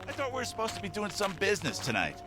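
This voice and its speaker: A man's voice: high voice, huge pitch swings, rapid-fire, music in the background. A man playing a smug, needling crook on the take in an audio drama, with a cocky, taunting manner. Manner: taunting, mock-wounded, cocky.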